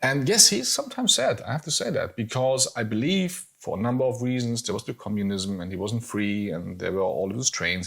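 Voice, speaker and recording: A man's voice: medium pitch, vocal fry, fairly bright tone, husky. A German man in his forties, speaking English with a German accent, chatting casually as podcast co-host, hesitant with many fillers and restarts. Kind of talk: casual conversation.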